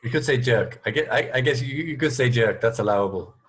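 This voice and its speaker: A man hosting a casual radio interview, speaking in a halting, tentative way as he circles around his point. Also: mid-range voice, slightly rough.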